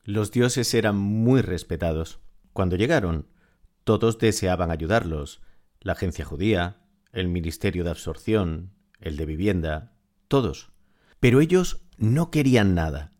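A man's voice: low-pitched, expressive, a little slow, darkish tone. A man playing a smitten, wistful narrator reading scripted literary audio fiction. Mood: wry. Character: smitten, wistful male narrator, devoted to his beloved.

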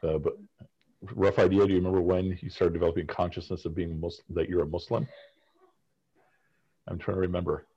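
A low, slightly husky male professor leads a seminar over a video call. He talks casually and keeps prompting his students with questions. The call audio sounds a little muffled. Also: flat delivery, a little fast, long pauses. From United States. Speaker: professor leading a class discussion.

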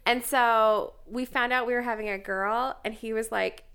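Woman in her thirties, casual podcast chat, blunt and frank, bright and high voice. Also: steady tone, vocal fry, smooth. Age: 30s. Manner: blunt, frank and quick to laugh, swears casually. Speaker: podcast co-host.

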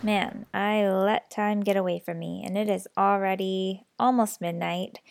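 A young adult woman hosting a personal storytelling podcast, a little raspy and stuffy from a cold, candid and chatty. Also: medium pitch, fairly even intonation, a little slow, faint music behind. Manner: candid, self-deprecating, chatty. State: slightly sick, stuffy nose. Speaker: podcast host.

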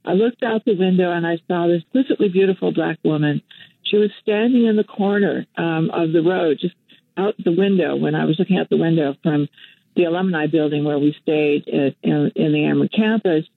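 A woman's voice: on the low side, brisk, vocal fry, dry recording. Older woman telling stories on a phone line. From Western Canada. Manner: reflective storyteller, many fillers and restarts. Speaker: guest, activist and journalist. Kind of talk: casual interview on the phone. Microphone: telephone.

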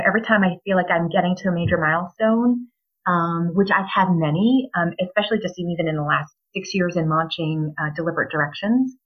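Low-voiced woman, unhurried with ums. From New Hampshire, US. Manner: measured, many ums, thoughtful pauses. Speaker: business coach guest. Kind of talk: interview conversation. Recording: dull lo-fi audio.

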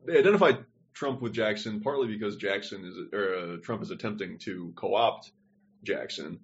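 Low-ish guy rambling thru a history tangent on a podcast, kinda muffled call audio. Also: normal speed. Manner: digressive, thinking aloud. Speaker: podcast co-host. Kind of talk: casual discussion.

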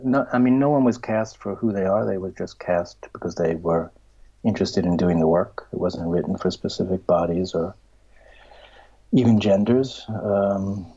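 A middle-aged man, a filmmaker and writer, answering interview questions in a halting, searching way, restarting often and trailing off into a soft whisper. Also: low voice, slightly rough. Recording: dull lo-fi recording.

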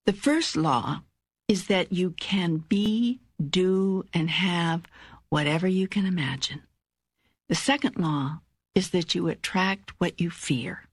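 An older woman voicing God as a calm, wise, authoritative teacher in an audiobook, with measured, emphatic phrasing and a husky voice. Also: on the low side, no room sound, studio quality, heavily acted. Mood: calm, instructive. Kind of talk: audiobook dialogue reading.

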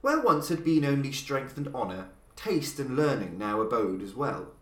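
A man hosting a podcast and reading a classic horror story in a measured, dramatic narrating style. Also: roomy sound. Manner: measured, dramatic delivery with lively pitch swings. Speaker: podcast host and reader.

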